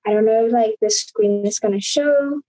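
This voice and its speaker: A woman's voice: on the high side, moderate pace, warm tone, dry recording, rough recording. A woman from Indonesia, a guest talking casually over a video call, rambling with many fillers and restarts.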